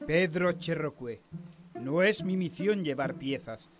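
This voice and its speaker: An actor playing a colonial navy captain in a radio drama, speaking in a formal, measured, official manner. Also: male voice, fairly high pitch, animated, over music. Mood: measured, conciliatory.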